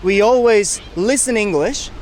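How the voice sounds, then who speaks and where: A man's voice: high-pitched, a little slow, a little hiss or hum. A Japanese man with a Japanese accent, hosting a casual street interview outdoors and asking eager questions.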